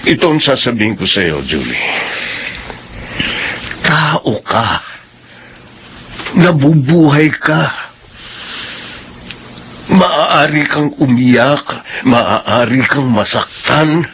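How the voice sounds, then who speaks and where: A slow, earnest Filipino boss. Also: male voice, mid-range voice, very animated, fairly bright tone, a little hiss or hum, exaggerated voice. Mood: concerned, emphatic. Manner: deliberate, emphatic, pausing between phrases. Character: earnest, fatherly male company boss, concerned and frank. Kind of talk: Filipino radio drama acting.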